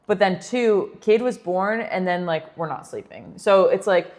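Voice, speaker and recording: Low-voiced woman podcast co-host. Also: a little slow, darkish tone, big-room sound. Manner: chatty, laughs often, many fillers. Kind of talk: casual chat.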